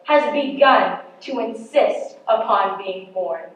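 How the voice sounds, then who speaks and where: A woman giving a dramatic poetry recitation, measured and expressive, with deliberate pauses. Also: mid-range voice. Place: large echoey indoor hall at a poetry competition. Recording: very echoey hall, distant mic, dull sound.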